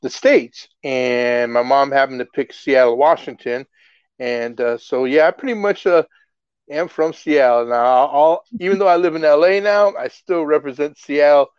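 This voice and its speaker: A middle-aged man from the Philippines, a guest in a casual interview, telling stories with lively excitement. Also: fairly high pitch, normal speed, smooth, dry recording, highs cut off. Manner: animated storyteller, gets excited and laughs while telling stories. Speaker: guest, music artist.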